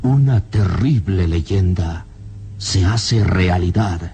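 A man's voice: deep voice, takes it slowly. A man narrating a radio drama in a solemn, theatrical scripted style. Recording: vintage radio drama with music behind.

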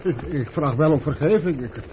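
An actor playing a timid, servile innkeeper, gushing and apologetic, in an old radio drama. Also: male voice, fairly high pitch, normal speed, phone-quality sound, lightly acted. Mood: cowed. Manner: stammering, servile. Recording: thin, faintly noisy old radio recording.

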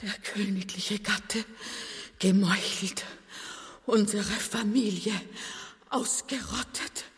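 A woman's voice: medium pitch, steady tone, slow pace, pauses often, in a large hall. An actress playing a frail, grieving aristocratic queen mother with plaintive, stilted courtly diction in a comic radio drama. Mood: ailing, lamenting.

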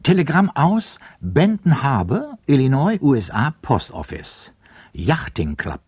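An actor playing an officious clerk who announces addresses and message headers in a clipped, bureaucratic way in a radio play. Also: male voice, mid-range voice, sing-song, unhurried, a little mellow, slightly rough, dry recording.

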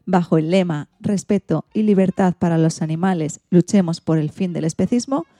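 A woman hosts a radio show, reading her script. Her voice sits fairly low and has a soft, mellow color. The sound is close and dry. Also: normal speed, no room sound. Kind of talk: scripted magazine-show presenting.

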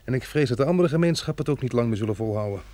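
An actor playing a steady, resolute man who leads survivors in a radio drama. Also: medium pitch, rapid-fire, no echo, close to an everyday voice. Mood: earnest, reflective. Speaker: lead actor and narrator in a radio play.

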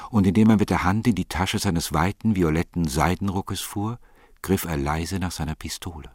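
A man with a husky voice narrating literary fiction in a measured, solemn manner. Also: sits low, darkish tone, no echo, studio quality.

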